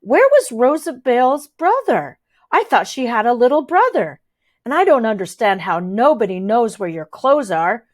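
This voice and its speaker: A woman voicing a puzzled mother firing off exasperated questions. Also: on the high side.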